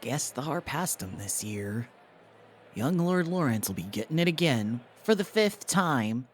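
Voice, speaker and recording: A man's voice: medium pitch, big pitch swings, unhurried, slight background noise. A man playing a disgruntled, gossiping townsman in a manga dub, grumbling as he talks. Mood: bitter, gossipy.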